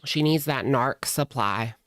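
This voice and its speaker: A woman's voice: a bass voice, measured pace, dry recording, clean studio sound. A woman doing casual reaction commentary in a sassy, slangy way. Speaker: reaction channel host.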